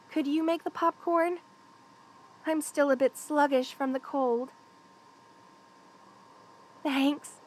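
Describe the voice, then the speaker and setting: A woman voicing a playful, affectionate young lamia best friend in a casual, teasing ASMR roleplay. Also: high-pitched. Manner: casual, teasing, conversational with soft chuckles. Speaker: voice actor in audio roleplay. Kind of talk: ASMR roleplay, one-sided friendly chat.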